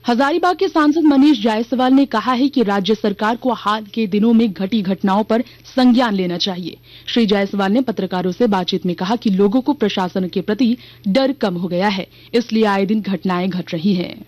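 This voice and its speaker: A woman reads a scripted regional radio news bulletin in a formal, measured manner. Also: medium pitch, darkish tone, dry recording, muffled recording. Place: radio studio.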